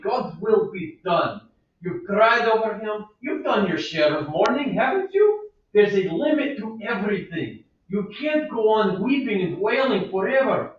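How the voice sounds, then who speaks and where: A man playing a fussy old servant who coaxes and scolds his mistress. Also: very high-pitched. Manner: coaxing, scolding, keeps saying ma'am. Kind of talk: amateur play reading. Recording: echoey room, far from mic, dull.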